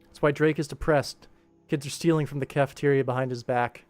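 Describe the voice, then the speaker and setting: A man with a medium-pitched voice hosts a casual work livestream. He explains things in an easygoing, conversational way, with music audible behind him. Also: steady tone, a little fast, a little mellow, no echo. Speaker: livestream host and animator. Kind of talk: casual livestream chat, answering viewers while working.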